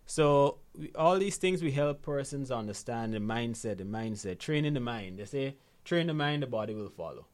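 A man's voice: mid-range voice, normal speed, few pauses. A man from Guyana, a guest coaching on money habits, talking conversationally and often checking in with "right?". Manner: animated storytelling, frequent "right?" tags. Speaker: guest, financial literacy coach. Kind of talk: conversational interview.

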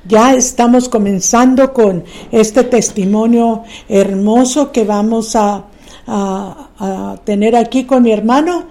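Unhurried woman hosting a church broadcast. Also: fairly high pitch, dark tone. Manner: affirming interjections, unhurried. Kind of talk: live ministry broadcast.

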